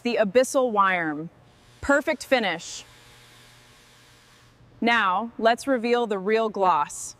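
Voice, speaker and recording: A woman with a fairly high voice talks herself through restoration work. Her remarks are short, clipped and approving. Faint ambient and vehicle noise sits behind her. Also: slow pace, pauses often, fairly bright tone. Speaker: restorer narrating own work. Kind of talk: short task commentary during vehicle restoration.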